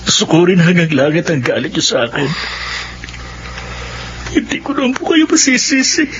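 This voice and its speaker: A man's voice: sits high, wildly swooping pitch, normal speed, fairly bright tone, dull audio, a little hiss or hum, exaggerated voice. A young Filipino man acting in a radio drama as a meek, remorseful younger brother, earnest and polite. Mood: tearful pleading.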